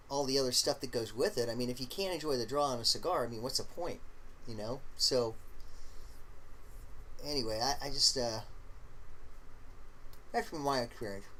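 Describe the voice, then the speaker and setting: A man hosting a casual live stream, rambling along in a halting way with lots of fillers and the odd throat clear. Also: mid-range voice, slight background noise, rough recording. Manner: relaxed, opinionated talk about smoking.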